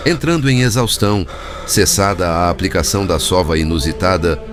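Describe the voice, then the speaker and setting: A man reading scripted audiobook narration in a formal, measured way. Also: low voice. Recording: music bed behind narration.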